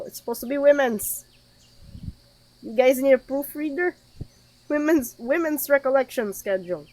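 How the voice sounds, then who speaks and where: A woman's voice: a little high, a little mellow, rough recording. A woman streaming a game with casual commentary, reading text aloud and dropping wry, informal asides. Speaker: gaming streamer.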